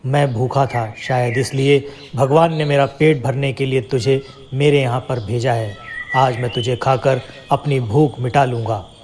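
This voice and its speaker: Menacing hungry lion read by a man, mid-pitched. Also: steady tone, a little slow, a little mellow, lightly acted. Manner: threatening, boastful. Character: hungry, menacing male lion threatening his prey. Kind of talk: children's animated moral story dialogue.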